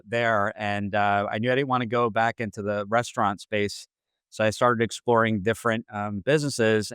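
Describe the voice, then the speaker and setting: A man in his forties from New Jersey, a franchise consultant answering interview questions with many pauses and restarts. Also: fairly low pitch, fairly bright tone, clear, resonant tone, no room sound, clean studio sound. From Central New Jersey. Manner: hesitant, many fillers and restarts.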